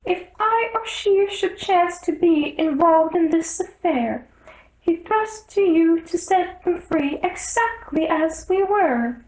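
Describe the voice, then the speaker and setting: Woman as a nervous male rabbit, storybook character, very high-pitched, fussy, sing-song, deferential, echoey. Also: expressive, slow, darkish tone, heavily acted. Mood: earnest recital.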